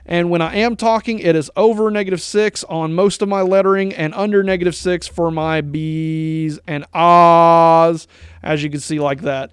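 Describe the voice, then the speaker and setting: A man's voice: fairly even intonation, moderate pace, clear, resonant tone, no room sound, studio quality. A man giving a step-by-step tutorial on a USB microphone, talking his way through each setting and correcting himself as he goes. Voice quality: describes his own voice as high-pitched. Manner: talks through steps aloud, corrects himself mid-sentence. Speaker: streamer explaining audio setup. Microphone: USB desk microphone.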